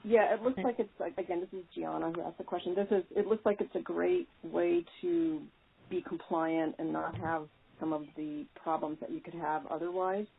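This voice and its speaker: A woman asking informal questions on a conference call in a tentative, roundabout way, with a husky, creaky voice. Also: fairly low pitch, fairly even intonation, moderate pace. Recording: muffled, band-limited conference call line.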